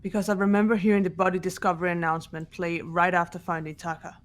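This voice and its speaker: A woman's voice: on the low side, little pitch movement, fast talker, soft background music, close to an everyday voice. A woman playing a composed, serious teenage girl detective in a mystery video game. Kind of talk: dramatic game dialogue and recap narration.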